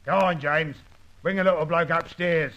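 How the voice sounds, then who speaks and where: Aussie gangster boss, highish hard forceful voice, blustery and threatening, old radio crime show. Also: male voice, fairly even intonation, normal speed, highs cut off. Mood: menacing. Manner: bullying, rough slangy talk. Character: menacing, blustering male crime gang boss. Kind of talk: old-time radio detective drama acting.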